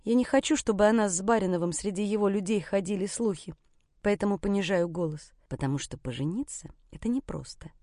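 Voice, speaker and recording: A woman's voice: mid-range voice, fairly quick, dark tone, no echo, studio quality. A woman reading a scripted first-person story as an audiobook narrator.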